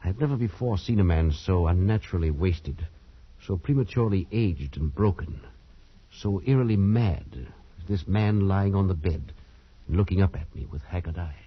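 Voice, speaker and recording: An actor playing a sensible, sceptical English gentleman in a post-war radio drama, with a British accent. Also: low-pitched, expressive, normal speed, husky. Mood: grave storytelling. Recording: muffled old radio recording.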